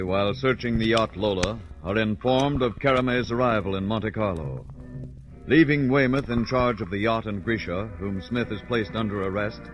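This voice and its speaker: Dull old radio recording of male announcer. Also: on the low side. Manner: formal, dramatic. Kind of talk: 1940s radio narration, scripted recap. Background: music.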